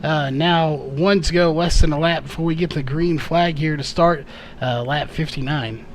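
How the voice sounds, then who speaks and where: A man's voice: fairly high pitch, fairly even intonation, normal speed, slight background noise. A man doing live play-by-play race commentary, full of fillers and self-corrections, his voice a little worn. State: slightly losing his voice.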